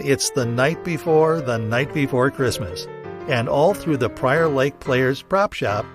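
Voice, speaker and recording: A man announcing for a radio play in a scripted style, with music behind him. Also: a little high.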